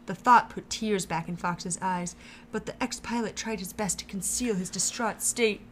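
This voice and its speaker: A woman reading the narration of an audio drama, calm and even, in a middle pitch. A faint background hiss sits under her voice. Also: normal speed. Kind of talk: storybook narration.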